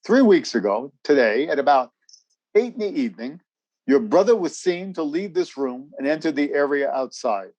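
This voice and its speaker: An actor playing a brisk, guarded, courteous army major in a radio drama, with a formal, clipped, official way of speaking. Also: male voice, on the high side, lively intonation, a little mellow, highs cut off. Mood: grave, matter-of-fact.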